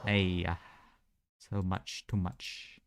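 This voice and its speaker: A man's voice: sits low, flat delivery, slow, background noise. A man giving casual, rambling live commentary as he plays a game, muttering his reactions. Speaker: game streamer. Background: game music.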